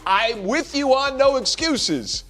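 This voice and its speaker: Male sports panelist, high-pitched, defensive, insistent debate delivery. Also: expressive, slow pace, bright, forceful tone, faint music behind. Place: TV studio.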